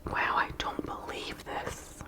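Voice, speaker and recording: A woman voicing an excitable, easily startled young girl in a whispered, breathy storybook reading. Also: takes it slowly, natural voice. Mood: excited. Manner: exclaiming and indignant.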